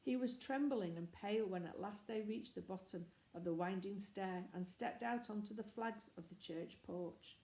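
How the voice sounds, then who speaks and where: A woman narrates a children's novel from a script. Her voice is mellow and mid-pitched. The room around her is clearly echoey. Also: moderate pace, hardly any pauses. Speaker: storybook reader.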